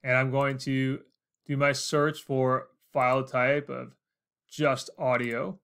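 A man's voice: medium pitch, flat delivery, slow, gravelly, fairly bright tone, smooth. An American man in his forties narrating a casual instructional screencast, explaining things step by step. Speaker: tutorial host.

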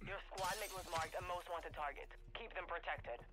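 Man doing a clipped military game announcer, commanding, high-pitched voice. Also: moderate pace, background noise, natural voice. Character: terse male military radio announcer.

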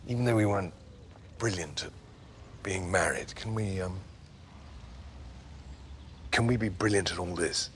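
A middle-aged English prince in a TV drama. His voice is low, tender and hesitant, over faint ambient noise. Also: a little slow, long pauses, fairly bright tone. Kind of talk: screen drama acting.

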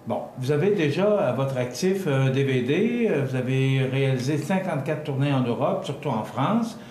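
Man, older, TV interviewer, plain questions, mellow voice, roomy studio. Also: medium pitch, expressive, moderate pace, a little hiss or hum. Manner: plain questions, short acknowledgments. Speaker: TV interviewer host. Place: TV studio set. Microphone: lapel mic.

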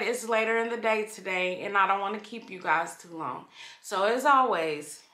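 A woman's voice: medium pitch, sharp tone. A middle-aged woman chatting casually to camera as a vlogger, recorded indoors on a camera microphone. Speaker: vlogger, channel host. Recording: roomy echo from camera microphone.

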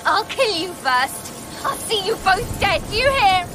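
An actress playing a fiery, jealous wife in a radio play. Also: female voice, extremely high pitch, sing-song, a little slow, music in the background, full character voice. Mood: furious. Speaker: actor. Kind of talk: dramatic radio play acting.

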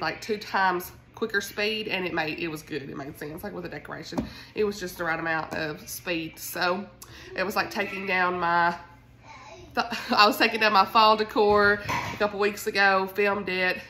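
A woman in her thirties with a Southern US accent talks in a chatty, informal vlog style. Her voice sounds congested, and there is clear noise in the room around her at home. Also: medium pitch, pushed and bright. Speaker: book vlogger.

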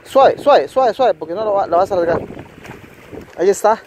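Excited fishing vlogger outdoors. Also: male voice, sits high, rough recording. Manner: excited, coaching a friend. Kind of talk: casual outdoor narration to viewers. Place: outdoors on a rocky lakeshore. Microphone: handheld phone camera. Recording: wind and water noise on phone mic. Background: wind and rushing water.

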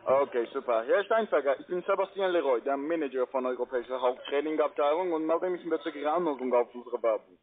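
Male non-native sales manager, formal scripted pitch, higher register, telephone-band audio. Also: quick pace, few pauses. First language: not German. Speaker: call centre sales manager. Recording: narrow phone line.

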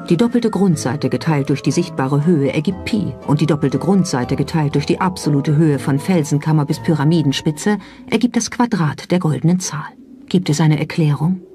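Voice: medium pitch, warm tone. A narrator delivering a scripted documentary voice-over. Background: background music.

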